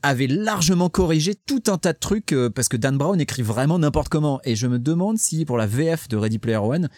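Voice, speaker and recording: A man's voice: a little high, lively intonation, brisk, a little mellow, no room sound, studio quality. A man hosting a podcast in casual chat about a book, sarcastic and mocking, swearing freely as he talks. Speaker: podcast host.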